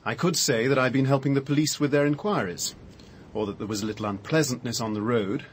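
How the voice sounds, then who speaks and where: An actor playing a shaken, wry British man in a radio drama, telling his story in a measured, dry, hesitant way. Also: medium pitch, close to an everyday voice. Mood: grave, shaken. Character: shaken, wry Englishman recounting finding a murder victim. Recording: radio drama with faint background noise.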